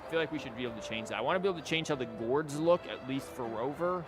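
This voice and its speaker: Man streaming games over loud music. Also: mid-range voice, expressive, very fast. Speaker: game streamer. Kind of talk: casual gameplay commentary. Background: game music.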